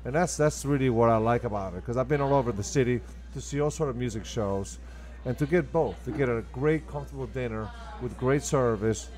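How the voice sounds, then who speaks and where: A man's voice: mid-range voice, brisk, a little mellow, faint music behind. Argentine-accented man in conversational interview. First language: Spanish. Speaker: guest, restaurant owner.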